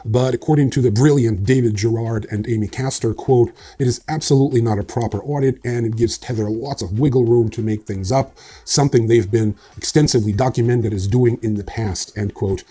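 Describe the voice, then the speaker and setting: A man's voice: mid-range voice, brisk, dark tone, slightly rough. A man hosting a casual news roundup, reading headlines with frequent fillers and slipping in dry, sarcastic asides. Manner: wry, dry sarcasm, frequent ums and uhs. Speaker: crypto news host.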